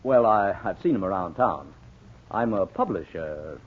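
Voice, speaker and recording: A thin, band-limited old radio recording of a level-headed gentleman detective. The man's voice is a little rough, with expressive rises and falls. He is polite and practical, in 1940s radio drama manner. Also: sits low, measured pace, narrow, tinny audio, full character voice. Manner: polite, matter-of-fact.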